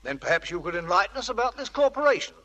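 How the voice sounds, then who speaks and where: An actor playing a polite, apologetic police inspector, formal and deferential, in old radio drama style. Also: male voice, high voice, very animated, rapid-fire, pushed and bright, full character voice. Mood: grave, formal. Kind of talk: 1940s radio acting.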